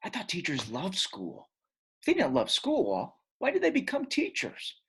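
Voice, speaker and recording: A school-hating eight-year-old boy telling his storybook tale, acted out by a man. He is grumpy and sure of his opinions, with very lively, swooping emphasis and a slightly rough, husky tone. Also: high voice, measured pace, pitched above the performer's own voice, heavily acted. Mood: opinionated. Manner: emphatic, expressive. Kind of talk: first-person children's storybook narration.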